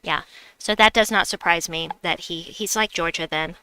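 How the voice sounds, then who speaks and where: A woman's voice: lowish voice, normal speed, sharp tone, a little hiss or hum, rough recording. A woman with a slight New York accent, streaming a game and chatting with her viewers as she plays. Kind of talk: casual live-stream chat while gaming.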